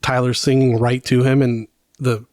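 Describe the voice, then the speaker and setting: Male co-host for a fan podcast. Medium pitch, conversational and speculative, thoughts left hanging, very dry recording. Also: level tone, moderate pace, a little mellow, dry recording. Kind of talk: casual fan podcast chat.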